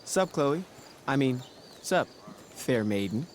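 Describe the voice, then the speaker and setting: A man's voice: medium pitch, sing-song, slow, darkish tone, slight background noise. A man acting a theatrical teenage boy who plays at being a courtly prince, in a video game. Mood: mock-courtly. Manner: grandiose, swooping between slang and mock-courtly.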